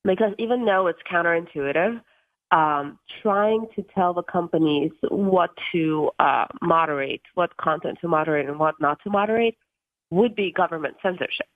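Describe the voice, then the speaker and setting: A young woman from Russia in her twenties speaks earnestly as a radio guest, her voice sitting a little low and often pausing on ums and uhs. She comes through a tinny phone line with a dry, close sound. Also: no room sound. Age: 20s. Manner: earnest, many ums and uhs, occasional laughs. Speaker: guest from a tech policy group.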